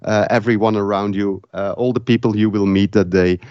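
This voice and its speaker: A man from Flanders with a Flemish Dutch accent, speaking English as a second language, talking haltingly with many fillers as a guest in a casual interview. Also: on the low side, steady tone, darkish tone, smooth, no echo, dull audio. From Belgium, Flanders. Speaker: event organizer, guest.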